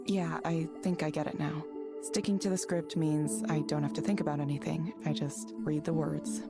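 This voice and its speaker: A woman playing a reserved counselling proxy in a video game, speaking in a measured, neutral, detached way. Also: low voice, level tone. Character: composed, reserved woman reading an AI counsellor's script. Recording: music behind the voice.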